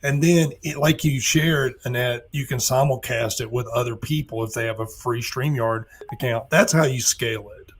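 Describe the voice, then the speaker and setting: Hoarse man, guest on a live online talk show, casual and earnest, mid-pitched. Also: normal speed, few pauses. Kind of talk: casual chat.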